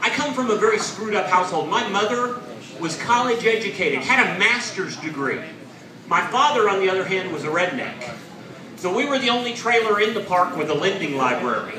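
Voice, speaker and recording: A man's voice: high-pitched, animated, fairly quick, far from the mic, a crowd in the background. Man in his forties doing stand-up. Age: 40s. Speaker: stand-up comedian. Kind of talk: stand-up comedy set. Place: comedy club stage with live audience. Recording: echoey club, audience laughter.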